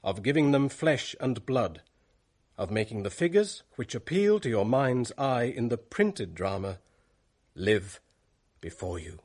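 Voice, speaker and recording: A male announcer opens a radio drama in a solemn, declamatory style. His pitch rises and falls with expression. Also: very animated, measured pace, darkish tone. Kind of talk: formal broadcast introduction.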